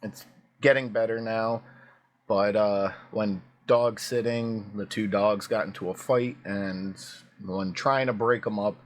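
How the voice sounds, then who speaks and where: Hoarse man chatting on a reaction channel. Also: fairly low pitch, fairly even intonation, unhurried. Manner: chatty, rambling with fillers. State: hoarse, voice cracking. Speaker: YouTube reaction host. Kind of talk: casual reaction video chat.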